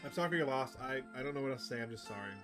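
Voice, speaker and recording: A man's voice: medium pitch, expressive, very fast, over music, natural voice. Man reading as awkward, sympathetic game protagonist. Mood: awkward sympathy. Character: awkward, sympathetic newcomer, visual novel protagonist. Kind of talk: visual novel dialogue read aloud.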